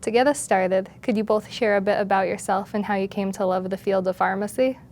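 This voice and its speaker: A woman's voice: mid-range voice, fairly even intonation, brisk, a little mellow, slight background noise. Polite woman interviewer. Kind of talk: polite, prepared interview hosting.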